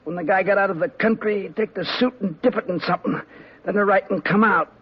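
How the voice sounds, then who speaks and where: A frightened wounded crook in a 1950s radio drama, his voice high and husky. He pleads and whines in a panic. The broadcast sounds muffled and lo-fi. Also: quick pace. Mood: cowed. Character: wounded male crook, whiny and scared.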